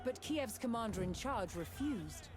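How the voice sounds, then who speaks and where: A woman reading scripted documentary narration in a measured, storytelling manner. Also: fairly high pitch, animated. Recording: loud music under voice. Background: loud music.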